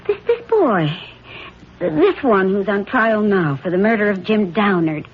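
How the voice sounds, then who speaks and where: An actress with an American accent playing a troubled, suspicious wife, halting and earnest, in 1970s radio drama style. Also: female voice, medium pitch, expressive, breathy edge. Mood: distraught. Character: troubled, suspicious wife confronting her husband. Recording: dull, noisy old radio broadcast.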